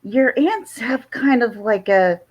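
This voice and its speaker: A woman from South Jersey with a Philadelphia accent, hosting a casual livestream chat and talking in halting, rambling restarts. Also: fairly high pitch, expressive, dull audio. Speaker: livestream host.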